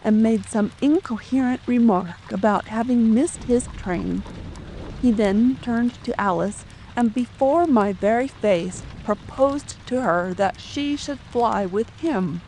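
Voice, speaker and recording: A woman's voice: a little high, slow pace, a little mellow, a little hiss or hum, rough recording. A woman playing a distressed, fearful middle-aged widow and protective mother in formal, old-fashioned phrasing for a dramatic audiobook. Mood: anxious, sorrowful. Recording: faint rain ambience behind.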